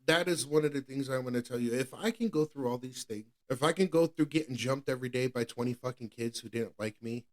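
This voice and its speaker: A man's voice: medium pitch, brisk, few pauses. A man in his thirties giving a candid personal testimony as a podcast co-host, earnest and repetitive, often restarting his sentences. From New England. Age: 30s.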